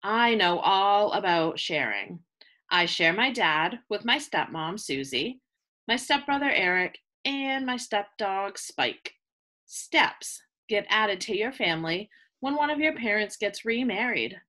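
A woman reading a children's picture book aloud like a teacher, with expressive delivery aimed at young listeners. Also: medium pitch, measured pace. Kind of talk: children's read-aloud, scripted narration.

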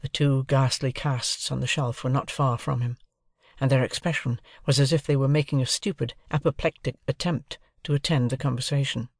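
A man's voice: medium pitch, fairly even intonation, moderate pace, no echo, clean studio sound. A man reading scripted literary narration as an audiobook narrator.

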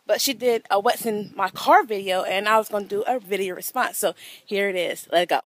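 A woman's voice: medium pitch, brisk, a little hiss or hum. A woman in her thirties chatting casually to viewers while filming a tour of her car on a handheld phone, laughing often. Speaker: vlogger. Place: outdoors and inside a parked car. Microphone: handheld phone camera.

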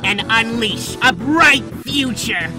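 A woman's voice: fairly high pitch, animated, slow, poor audio. A woman voicing an earnest, self-important young male bird in a video game fandub. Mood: grand, determined. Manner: grandiose, earnest. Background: clear music.

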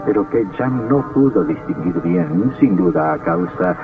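An actor playing a sinister, darkly gloating count who narrates an old radio horror drama in a theatrical, macabre manner. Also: male voice, sits low, lively intonation, a little slow, music in the background. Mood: macabre, brooding. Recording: tinny, distant old radio recording.

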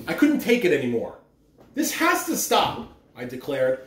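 A man's voice: fairly high pitch, wildly swooping pitch, normal speed, recorded from a distance, a little hiss or hum. A middle-aged man speaking expressively to children, like a school announcer reading aloud. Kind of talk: morning announcements and children's story read-aloud. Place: living room, indoors. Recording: roomy, recorded away from the mic.

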